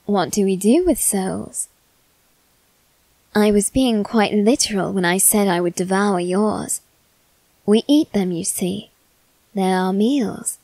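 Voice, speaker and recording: A woman voicing a polite, coaxing young male demon in an ASMR roleplay aimed at the listener, speaking softly and courteously. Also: mid-range voice, no echo, rough recording. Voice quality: soft, measured and courteous.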